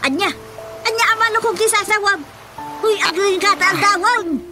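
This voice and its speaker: A woman's voice: extremely high pitch, moderate pace, loud music behind the voice. An actress in a radio drama playing a worried village mother, speaking with an Ilocano accent in a pleading, scolding way. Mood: alarmed.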